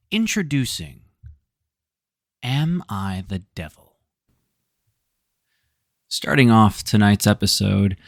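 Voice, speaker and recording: A lower-voiced man narrates online stories to his listeners, his pitch rising and falling freely as he tells them. He drops in dry, sarcastic asides between the story lines. The recording is close and dead, with no room sound. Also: creaky, no room sound. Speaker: storyteller host. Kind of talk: reading Reddit stories aloud with commentary.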